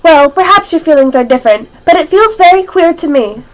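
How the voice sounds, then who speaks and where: A very high, girlish voice from a young female actor plays a polite little girl in an amateur radio play. She is earnest and bewildered by everything around her. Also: animated, brisk, low-quality recording.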